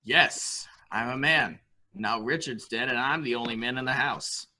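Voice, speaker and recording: An actor plays a childlike, dangerous grown man in an amateur stage-style mystery. His voice is extremely bright and forceful, with a boyish, taunting eagerness. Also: medium pitch, unhurried. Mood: menacing, proud. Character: childlike, unstable grown man thrilled by guns and killing. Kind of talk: amateur radio-play acting.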